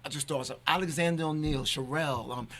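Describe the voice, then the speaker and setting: A man's voice: on the high side, quick pace, sharp tone. An American man in his fifties, a singer, chats casually as a studio guest and tells stories, repeating phrases for emphasis. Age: 50s. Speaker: singer, guest in a married duo. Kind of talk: casual chat, storytelling. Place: radio studio.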